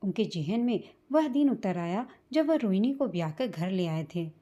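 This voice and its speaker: A woman reading a scripted, sentimental family story as a storyteller, in a measured manner. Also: medium pitch, lively intonation, mellow, lo-fi sound. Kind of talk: scripted emotional story narration in Hindi.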